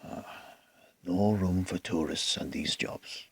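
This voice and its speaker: A man playing a weak, wounded and wry man in a fan audio drama, with a husky, gravelly voice. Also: low voice, slow, fairly bright tone, no room sound, heavily acted.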